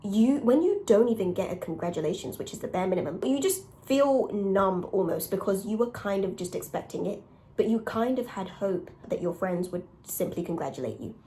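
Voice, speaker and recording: A young woman with a raspy, softly dark voice speaks as a solo podcast host. Her delivery is casual and confessional, like frank conversation. She stresses her points in a candid, emphatic way, at a middling pitch. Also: animated, a little fast, slight background noise, poor audio. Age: young adult. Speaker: podcast host and content creator.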